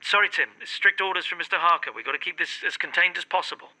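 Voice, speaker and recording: A man's voice: high-pitched, quick pace, pushed and bright. A man acting a harried, evasive official on a phone call in an audio drama, firm and businesslike. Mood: guarded, reassuring.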